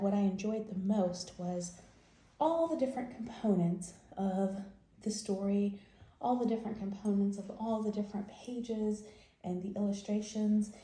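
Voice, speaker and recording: A woman's voice: medium pitch, warm tone. A woman hosting a bedtime read-aloud, talking to small children in simple, emphatic phrases and guiding questions. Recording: roomy, echoing home recording.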